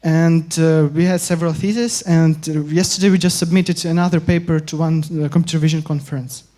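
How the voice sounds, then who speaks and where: A young man from Ukraine giving an academic conference talk, halting with frequent pauses and restarts. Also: fairly high pitch, steady tone, mellow. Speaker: conference speaker.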